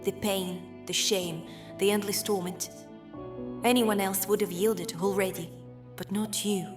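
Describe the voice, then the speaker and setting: A woman's voice: medium pitch, fairly even intonation, unhurried, lightly acted. A woman as sorrowful drow sister over music. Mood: pitying. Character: tender, sorrowful drow sister, gently manipulative. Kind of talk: dramatic fantasy audio acting.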